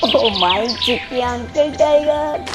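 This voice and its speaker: Woman as a cheeky thieving fox, kids' cartoon, slow delivery, mock-innocent, music behind. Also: high voice. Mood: gloating. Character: sly, cheeky, hungry fox who flatters and steals. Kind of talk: children's cartoon character dialogue.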